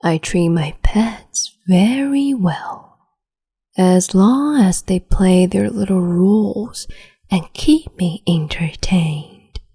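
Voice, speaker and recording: A woman's voice: fairly low pitch, takes it slowly, exaggerated voice. Woman playing a whispering cruel empress. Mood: cruel, sweet. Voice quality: breathy whisper. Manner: soft whispering, sweetly menacing and condescending. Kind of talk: ASMR roleplay.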